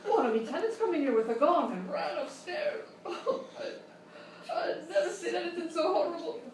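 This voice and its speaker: A woman's voice: very high-pitched, lively intonation, normal speed, slight background noise. A woman playing a horrified landlady in a live comedy sketch, melodramatic and gasping. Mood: horrified, tearful. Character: horrified, dim landlady, overwrought and shrill. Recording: distant, echoey live stage recording.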